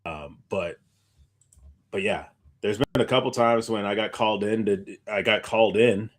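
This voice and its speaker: A man's voice: on the low side, normal speed. A man chatting casually as a podcast co-host, rambling through anecdotes in an informal way. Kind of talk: casual conversational chat.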